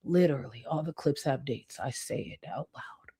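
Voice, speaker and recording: A woman's voice: rumbling low, normal speed, warm tone, husky. A woman hosting a live commentary stream, reading chat and mocking her target with heavy sarcasm and swearing.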